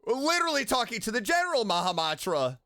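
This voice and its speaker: A man giving casual, playful commentary as he plays a game, jokey and expressive, talking back to the characters. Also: very high-pitched, fast, slight background noise. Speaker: streamer reacting while playing a game. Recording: game music behind the voice.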